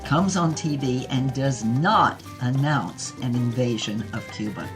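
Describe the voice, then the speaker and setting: A woman playing a brash, flirtatious starlet who tells her own torrid tale in an audio drama, theatrical and knowing, with a husky voice. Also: extremely low pitch, animated, unhurried, music in the background. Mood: breathy, savoring. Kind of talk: dramatized first-person storytelling with character voices.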